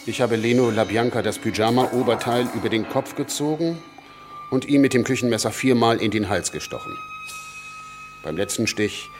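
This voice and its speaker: A man's voice: mid-range voice, huge pitch swings, moderate pace. An actor playing a male cult killer in a radio play, giving a matter-of-fact confession. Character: male cult killer coolly recounting his crimes. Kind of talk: first-person radio play monologue. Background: clear music bed.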